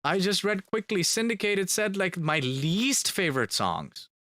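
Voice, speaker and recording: Guy on a live stream reading chat questions, bright voice, dry close mic. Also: sits high, very animated, a little slow, no echo. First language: Finnish. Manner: chatty, repeats words for emphasis. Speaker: band member streaming to fans.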